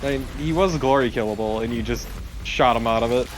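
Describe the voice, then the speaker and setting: A man's voice: mid-range voice, brisk, slight background noise, rough recording. A man co-commentating on a video game with casual, dry, joking banter.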